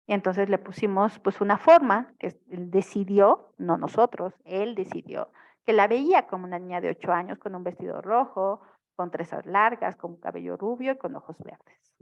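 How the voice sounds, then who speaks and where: A woman's voice: mid-range voice, normal speed, gravelly, muffled recording. A woman teaching a family therapy class online, explaining and often checking in with her students. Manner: explanatory, frequent checking questions. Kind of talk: online lecture.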